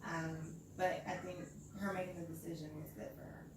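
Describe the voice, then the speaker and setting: A woman co-host giving workplace etiquette tips in a casual chat, measured and hesitant with frequent ums. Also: fairly low pitch, level tone. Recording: very echoey room, distant mic, clear hiss.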